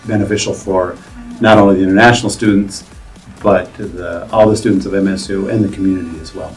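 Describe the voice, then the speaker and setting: A man, director of international students, speaking in a measured way with pauses. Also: deep voice, steady tone. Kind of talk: casual interview. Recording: distant mic with music.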